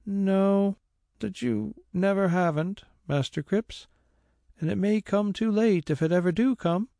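Prickly country housekeeper, rustic speech; man doing her in falsetto-ish lift, dry close read. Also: a little slow, creaky, mellow, dry recording. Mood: tart. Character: prim middle-aged woman housekeeper, touchy.

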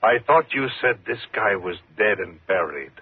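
An actor playing a coolly taunting, sinister night elevator operator in a 1950s radio thriller, laconic and ominous. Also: male voice, on the low side, expressive, normal speed, husky. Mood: taunting, menacing. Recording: muffled, tinny 1950s radio recording.

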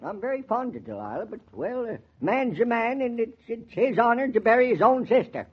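A man's voice: very high voice, very animated, a little fast, slightly rough, exaggerated voice. An actor playing a cantankerous, morbid man in his eighties with a rural American accent, folksy and grumbling in 1940s radio drama style. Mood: morose, stubborn. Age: 80s. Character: cantankerous, morbid old rustic man of eighty-six, stubborn neighbor. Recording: dull, lo-fi 1940s radio recording.

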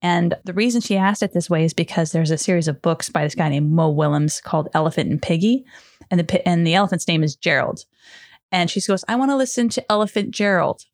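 A woman's voice: on the low side, quick pace, no echo, studio quality. A woman co-hosting a podcast, telling stories in a casual, chatty way and acting out what people said. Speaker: podcast co-host. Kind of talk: casual conversational storytelling.

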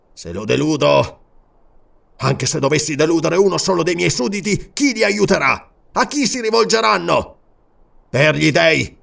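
A man's voice: sits high, creaky, fairly bright tone, pitched above the performer's own voice, exaggerated voice. An Italian man narrating an audiobook and voicing a proud, furious barbarian king in disguise who swears vehement, declamatory oaths.

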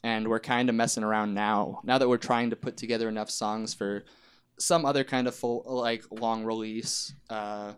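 Smooth-voiced man in casual chat. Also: lowish voice, little pitch movement, normal speed. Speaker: band member being interviewed.

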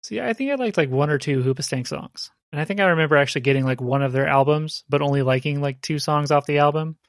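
A man's voice: on the high side, fast talker, no room sound. Chatty man explaining, dry sound. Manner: chatty, explaining with rhetorical "right?". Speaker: podcast co-host. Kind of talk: casual chat.

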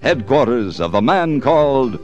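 A man's voice: mid-range voice, very animated, a little slow. A man announcing an old radio drama in a dramatic, scripted style. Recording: dull vintage radio, loud music. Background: loud brass music.

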